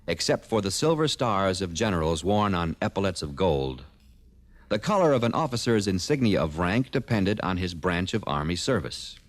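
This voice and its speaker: A man's voice: on the low side, dry recording. A man with an American accent reading a scripted informational piece as a radio announcer.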